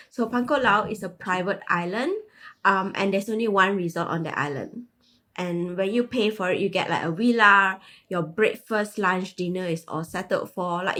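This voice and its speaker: A woman in her thirties with a Malaysian English accent chats as a podcast guest. She talks easily and breaks into laughter often between phrases. Her voice sits at a middling pitch. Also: fairly bright tone. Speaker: guest, tech manager. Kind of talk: casual interview chat.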